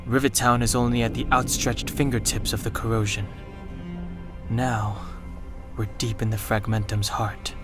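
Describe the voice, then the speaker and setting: Game role: reserved young male adventurer, acted by a man, lowish pitch, serious and cautious, faint music behind. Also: level tone, moderate pace, lightly acted. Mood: calm, analytical. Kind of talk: video game voice acting.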